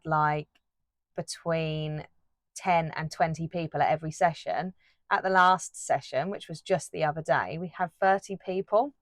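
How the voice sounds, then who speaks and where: A woman chatting casually while she paints, rambling with lots of fillers and restarts, her voice a little croaky. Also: sits low, no room sound, studio quality. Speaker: art YouTuber.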